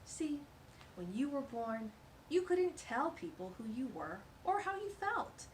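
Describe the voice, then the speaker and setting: Animated woman reading a children's book. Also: high voice, unhurried, a little hiss or hum, low-quality recording. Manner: warm, animated, sing-song storytelling with asides. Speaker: children's storytime reader. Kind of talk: reading a picture book aloud to kids.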